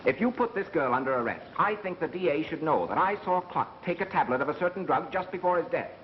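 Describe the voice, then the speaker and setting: An actor playing a quick, defiant radio engineer in a 1930s mystery film, with brisk, assertive delivery. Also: male voice, on the high side, lively intonation, close to an everyday voice. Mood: firm, challenging. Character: quick, defiant male radio engineer standing up to his bosses. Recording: muffled, noisy 1930s film soundtrack.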